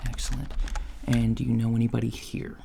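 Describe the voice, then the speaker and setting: A man playing an awkward, apologetic novice alien job interviewer in a soft, hesitant ASMR roleplay. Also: medium pitch, creaky. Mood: polite, hesitant. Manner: hesitant, halting, mumbling asides. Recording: lo-fi, steady noise, keyboard typing. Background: computer keyboard typing.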